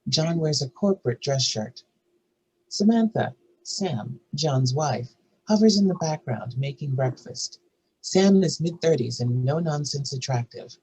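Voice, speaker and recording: A man's voice: fairly high pitch, animated, a little slow, darkish tone, slight background noise, poor audio. A man narrating screenplay stage directions at a table read.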